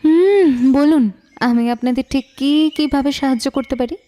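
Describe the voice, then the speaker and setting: A woman playing an irritated, sharp-tongued married woman in an audio detective drama, curt, scornful and suspicious. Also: high voice, moderate pace, slight background noise, natural voice. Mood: annoyed, curt.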